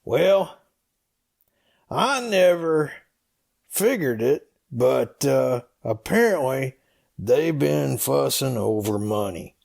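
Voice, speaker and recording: Man doing a very gravelly, rambling comic storyteller. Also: medium pitch, lively intonation, darkish tone, voice pushed up, exaggerated voice. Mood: evasive, meandering. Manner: hesitant, halting, meandering. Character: rambling slow-witted folksy comic storyteller El Dub. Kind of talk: comedy skit read as dialogue.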